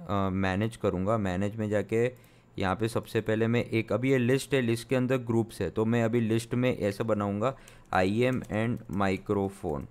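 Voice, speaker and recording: Young guy from india doing a screen tutorial, lots of uh and restarts, clear voice. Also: fairly low pitch, darkish tone. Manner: thinking aloud with many fillers and restarts; explanatory, step-by-step. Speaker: sound engineer teaching software. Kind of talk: screen-recorded software tutorial.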